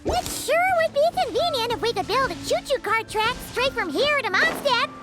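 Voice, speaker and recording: A woman voicing a tiny, chirpy, excitable floating fairy companion in a video game. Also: extremely high pitch, normal speed, loud music behind the voice. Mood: excited. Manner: speaks of self in third person, exclamatory.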